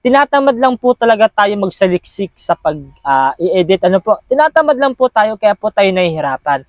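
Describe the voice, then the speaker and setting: A man's voice: high-pitched, big pitch swings, brisk, dry recording, muffled recording. A man hosts a casual step-by-step tutorial, chatty and encouraging, often repeating himself. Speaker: host of video editing tutorial.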